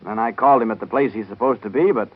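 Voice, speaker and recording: An actor playing an earnest young American defense lawyer in a 1930s radio soap, plain-spoken and reassuring. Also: male voice, mid-range voice, fast, slightly rough, close to an everyday voice. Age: young adult. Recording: muffled 1930s radio recording.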